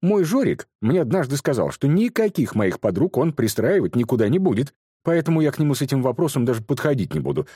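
A man's voice: mid-range voice, huge pitch swings, moderate pace, dark tone, slightly rough, dry recording, studio quality, voice pushed up. A man voicing a boastful, chatty young woman who brags in a breezy, raised voice.

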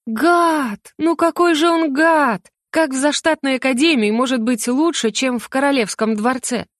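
Narrator lady as indignant young mage girl in an audiobook, proud n flustered, voice pushed higher, dry sound. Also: moderate pace, no echo, natural voice. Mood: outraged. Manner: emphatic, indignant. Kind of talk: audiobook character acting.

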